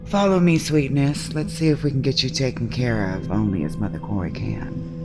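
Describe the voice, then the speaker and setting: Casting: male actor as shrewd, streetwise flophouse keeper for sci-fi audio drama. Mid-pitched, sardonic, brassy, plays over a music bed. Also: normal speed, lightly acted. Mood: sly, businesslike. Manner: sardonic, streetwise, calls people sweetness and baby. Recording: loud music bed under dialogue.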